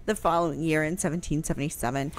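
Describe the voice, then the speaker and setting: A woman's voice: low voice, fairly even intonation, fast. A middle-aged American woman hosting a casual radio talk show, speaking close to the microphone. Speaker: radio show host answering history questions. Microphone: close microphone on boom arm, headphones.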